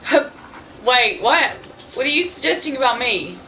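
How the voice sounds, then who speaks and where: A woman with a bright, slightly high voice reads fan fiction aloud like an amateur among friends, slipping into casual banter. There is faint noise behind her. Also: expressive, normal speed, poor audio. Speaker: reader.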